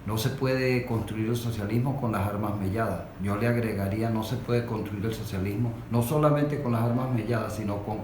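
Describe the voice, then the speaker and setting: A man's voice: lowish voice, fairly even intonation, brisk, few pauses, darkish tone, slight background noise. A man with a Venezuelan accent speaking as a discussion guest, setting out his points in a didactic way. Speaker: interview guest, industrial worker. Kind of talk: spontaneous political discussion. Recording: echoey room with music behind.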